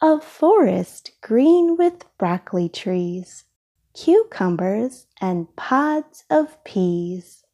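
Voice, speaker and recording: A mellow, slightly husky woman reads a picture book aloud for small children. She goes very slowly, with lively rises and falls, phrasing each bit deliberately and expressively. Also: medium pitch. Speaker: storybook reader. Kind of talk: children's storybook read-aloud.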